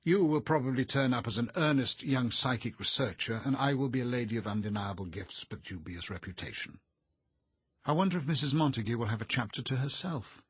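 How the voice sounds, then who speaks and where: A man as a witty, arch, husky young woman. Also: mid-range voice, animated, a little fast, no room sound, pitched above the performer's own voice. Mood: musing. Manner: polished, arch politeness.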